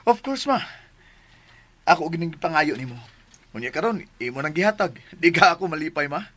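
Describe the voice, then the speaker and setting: A medium-pitched voice plays an eager grown-up child in a radio drama. The character is dutiful to a parent and speaks with a Cebuano accent. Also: lively intonation, brisk, sharp tone. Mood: excited, pleased.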